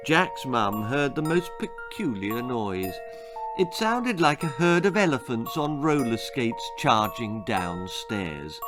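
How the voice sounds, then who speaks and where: A man reading a children's horror-comedy story theatrically, with suspense and playful emphasis. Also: medium pitch. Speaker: author reading own story. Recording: music behind the voice. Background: music.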